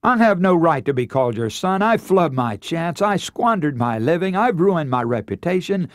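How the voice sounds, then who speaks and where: A remorseful runaway son, acted out by a male preacher in a radio sermon. He pleads humbly in an American accent, halting and blaming himself. The voice is fairly high, with wide emotional swings. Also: sing-song, no room sound, clean studio sound. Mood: humble pleading. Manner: pleading, halting, self-reproaching.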